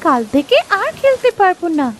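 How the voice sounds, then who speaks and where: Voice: very high-pitched, big pitch swings, fairly quick, a little hiss or hum. A performer voicing a dutiful young village girl in a cartoon, with a childlike, earnest way of talking. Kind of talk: animated cartoon voice acting.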